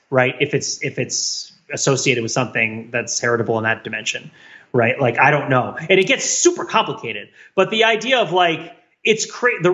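A man with a fairly high, very bright voice co-hosts a casual discussion podcast. He rambles animatedly through his reasoning, questioning himself with a wry edge. The recording is slightly dull and muffled. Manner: rambling, self-questioning, wryly animated argument. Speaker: podcast co-host.

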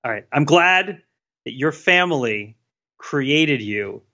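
Lively bright man, dull lo-fi recording. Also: on the high side, takes it slowly. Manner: teasing, playfully earnest. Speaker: podcast co-host. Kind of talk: casual chat. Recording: dull lo-fi sound.

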